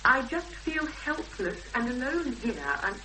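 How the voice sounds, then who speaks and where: A woman playing an earnest young woman in a 1970s British radio drama, expressive and hesitant when pressed, on an old recording. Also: sits high, unhurried, a little hiss or hum, exaggerated voice, poor audio. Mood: pleading, subdued. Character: Emma, earnest young woman searching for missing sister.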